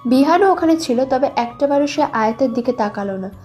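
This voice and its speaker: A woman's voice: high-pitched, animated, brisk, a little mellow. A young woman reading scripted narration for a dramatized love story. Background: background music.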